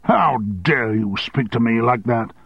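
A man playing a blustering, domineering, short-tempered male boss who shouts threats, on a dry, slightly dull recording. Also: mid-range voice, expressive, slow, creaky, slightly rough, no room sound, full character voice. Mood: angry.

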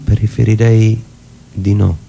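A man voicing a meek copyist in a radio story, soft and gentle, speaking slowly with calm firmness. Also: on the low side, gravelly. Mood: calm refusal. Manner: slow, calm, firm. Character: meek, immovable male copyist, softly but firmly refusing. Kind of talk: literary radio reading with music.

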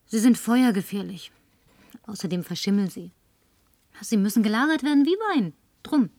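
An actress in a German radio play playing a flirtatious, tipsy, insistent career woman with coquettish, languid phrasing and breathy murmurs. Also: mid-range voice, expressive, moderate pace, a little mellow, no echo. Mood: confiding.

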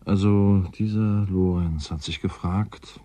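A man's voice: deep voice, steady tone, slow, warm tone, no echo. An actor playing a wry, courteous male scientist in a radio drama, absorbed in his own theories and speaking thoughtfully. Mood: musing. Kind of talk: German radio drama acting, naturalistic dialogue.

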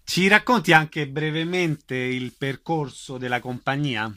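Male radio host with a fairly high voice, giving short interview prompts and announcements. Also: a little slow, fairly bright tone.

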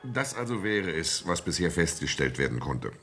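A man playing a grave, terse, commanding police prefect in a German radio play, with a gravelly voice. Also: low voice, big pitch swings, normal speed, over music. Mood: curt, grave. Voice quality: gravelly, husky. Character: authoritative male police prefect taking a report, grave.

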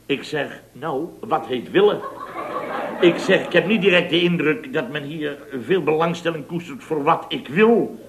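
A man's voice: fairly high pitch, a little slow, noisy background. A man acting a blustering, indignant builder boss who tells tall stories theatrically in an old Dutch radio comedy. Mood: bemused recounting. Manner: theatrical, exclamatory storytelling with repeated tag words.

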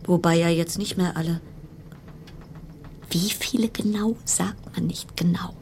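Isolated, self-soothing woman, slow delivery, gravelly fry, musing and repetitive, slipping into whispers, radio drama. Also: fairly low pitch, steady tone, noisy background. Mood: hushed unease. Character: isolated woman quarantined in cruise ship cabin, brittle, self-reassuring. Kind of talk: German radio play monologue.

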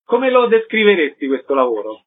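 A man's voice: high voice, very animated, a little fast. A man with an Italian accent working as a radio interviewer, putting prepared questions to a guest. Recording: dull, clearly noisy line with clicks.

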